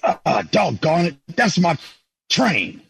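A man's voice: high-pitched, big pitch swings, takes it slowly, vocal fry, fairly bright tone, a little hiss or hum, exaggerated voice. An actor playing a harried, affectionate 1950s businessman and father in an old-time radio drama, with a hesitant, stammering delivery. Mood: flustered, polite. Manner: hesitant, stammering, folksy exclamations.

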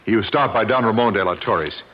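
An actor playing a confident American gentleman adventurer with an American accent and matter-of-fact manner in a 1950s radio drama. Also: fairly low pitch, fairly quick, lightly acted. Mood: concerned, steady. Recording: noisy muffled old radio recording.

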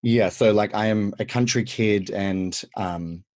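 A man's voice: lowish voice, unhurried, darkish tone. An Australian man from the country hosting a podcast, chatty and upbeat, with lots of fillers. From central west New South Wales, Australia. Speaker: podcast host, drag performer. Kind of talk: casual podcast interview.

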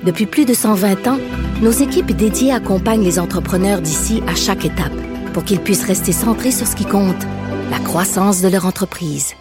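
A woman reading a scripted advertisement in a smooth, soothing voice. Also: medium pitch, moderate pace, over loud music. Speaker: announcer. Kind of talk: scripted ad voice-over.

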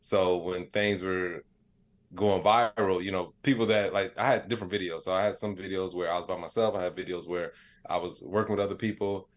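A man's voice: deep voice, fairly even intonation, brisk. A middle-aged man with an American accent answering interview questions in a casual, laid-back, reflective storytelling way. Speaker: podcast guest, comedian and digital creator.

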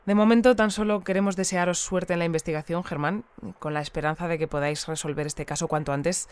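An actress playing a composed, articulate radio news interviewer in an audio drama. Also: female voice, on the low side, steady tone, fast, darkish tone, clear, resonant tone, dry recording, lightly acted. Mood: courteous, inquiring. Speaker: radio presenter.

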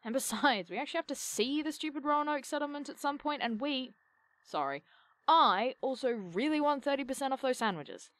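A woman's voice: sits high, expressive, brisk, a bit bright, no echo. A woman playing a reluctant, sarcastic young travel companion in an audio drama, with dry, teasing grumbles. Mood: firm, exasperated. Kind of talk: audio drama acting, naturalistic banter.

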